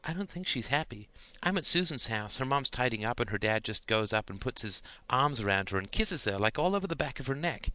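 A man's voice: medium pitch, animated, a little fast, few pauses, pushed and bright, no room sound, voice pushed up. A man voicing a gossipy, giggly teenage girl chatting in slangy audiobook dialogue. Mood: wistful, earnest. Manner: chatty, slangy, girlish delivery.

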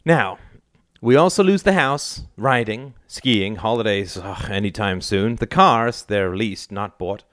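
A man's voice: lowish voice, a little slow, a bit bright, dry recording, studio quality. A man voicing a frazzled, exasperated mother of teenagers in an audiobook story, speaking emphatically. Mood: resigned.

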